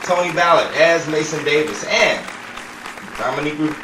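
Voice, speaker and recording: Man announcer, scripted show credits and sign-off, lively pitch, distant echoey recording, background noise. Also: normal speed, a crowd in the background. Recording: echoey distant room, noisy audience ambience.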